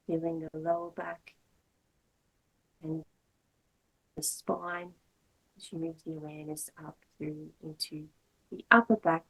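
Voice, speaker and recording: A woman with a lowish, noticeably husky voice leads a guided meditation as a yoga teacher. She speaks in short, measured phrases and leaves long pauses between them. The delivery is gentle and soothing. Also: poor audio.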